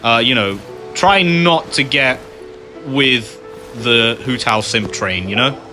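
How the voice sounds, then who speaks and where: A man's voice: mid-range voice, takes it slowly. A man giving informal, rambling commentary as the host of a game playthrough. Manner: informal, rambling, often asks "you know". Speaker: gaming video host. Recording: game music underneath.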